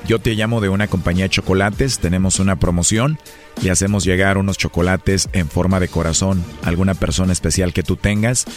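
A man making a prank phone call for a radio show, smooth and flirtatious. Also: low voice, fairly even intonation, a little fast, soft background music.

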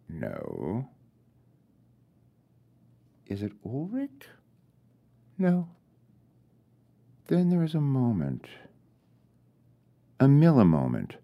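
A man reading his own short story as literary narration, deliberate and with dramatic pauses. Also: medium pitch, dark tone.

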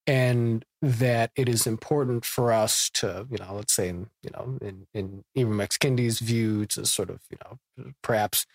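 A man hosting a podcast, framing long questions in a halting way with many fillers and restarts. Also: lowish voice, no echo. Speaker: podcast host. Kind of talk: conversational podcast interview.

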